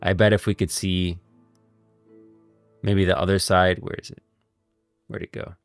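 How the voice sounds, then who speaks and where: A man's voice: low-pitched, flat delivery, measured pace, soft background music. A man streaming casual lore commentary, speculating and stressing points with rhetorical questions. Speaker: streamer explaining game lore.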